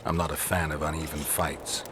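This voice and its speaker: A man playing a stoic, wry mercenary monster hunter in a fantasy video game, terse and deadpan. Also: very deep voice, normal speed, slight background noise. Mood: dry, wry. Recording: music behind the voice.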